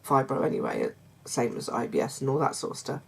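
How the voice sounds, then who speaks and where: A middle-aged woman, chatting casually to her viewers in a croaky voice, hesitant with many fillers and restarts. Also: very deep voice, animated, fairly quick. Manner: warm confiding tone toward viewers. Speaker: vlogger. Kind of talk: casual chat to camera.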